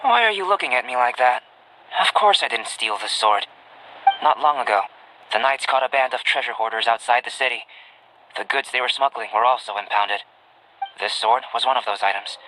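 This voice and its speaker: A man's voice: medium pitch, noisy background, lightly acted. Man voicing a light, composed young alchemist. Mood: matter-of-fact. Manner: measured, formal, articulate. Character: composed, cerebral, courteous young male alchemist. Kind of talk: anime-style video game voice acting. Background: game music.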